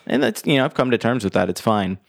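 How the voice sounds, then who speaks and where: A man co-hosting a casual podcast, slightly nasal, explaining in a measured, hesitant way. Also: mid-range voice, wildly swooping pitch, vocal fry, no room sound, clean studio sound. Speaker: podcast co-host.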